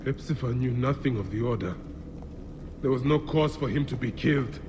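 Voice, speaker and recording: A man's voice: medium pitch, moderate pace, in a large hall, muffled recording, noisy background, lightly acted. An actor playing a grieving, vengeful Egyptian warrior and bereaved father in a dramatic video game, solemn and intense.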